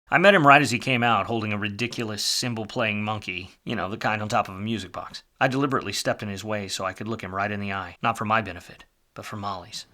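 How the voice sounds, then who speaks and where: A man acting a wry, smooth-talking hard-boiled private detective in a 1940s-style noir radio play. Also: lowish voice, bright, forceful tone.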